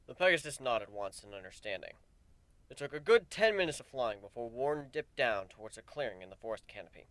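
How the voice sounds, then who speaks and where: A man's voice: medium pitch, sing-song, a little fast, fairly bright tone, no echo. A man reading a piece of fan fiction as a dramatic, scripted story narration.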